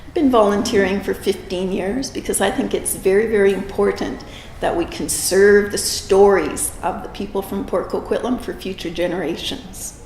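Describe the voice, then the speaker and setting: A woman's voice: mid-range voice, fairly even intonation, moderate pace, a little mellow, slight background noise. A woman who heads a heritage society, speaking earnestly in an interview. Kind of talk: interview statement. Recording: echoey with faint ambience.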